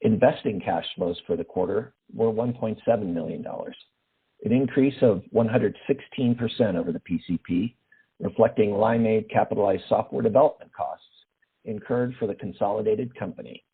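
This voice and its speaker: A man, a finance executive, reading a formal financial report in a steady manner over a phone line. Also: fairly low pitch, normal speed, husky.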